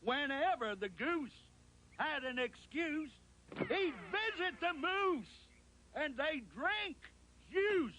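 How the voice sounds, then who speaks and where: High, sing-song rhyming storyteller. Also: male voice, expressive, takes it slowly, sharp tone, a little hiss or hum, exaggerated voice. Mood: playful, whimsical. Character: playful storyteller of a rhyming animal tale. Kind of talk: children's rhyming story narration.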